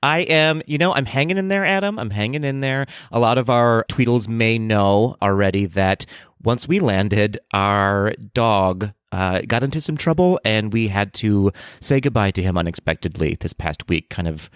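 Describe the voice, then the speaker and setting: Laid-back guy cohosting a podcast, kinda low voice, laughs a lot, dry sound. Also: moderate pace, clear, resonant tone, no room sound. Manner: laughs often, easygoing. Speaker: podcast co-host. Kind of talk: casual chat. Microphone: headphones and studio mic.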